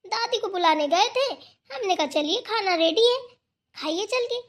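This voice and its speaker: A woman voicing an excitable, gossipy young daughter-in-law in a village cartoon family drama, chatty and emphatic. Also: extremely high pitch, moderate pace. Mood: annoyed, gossipy.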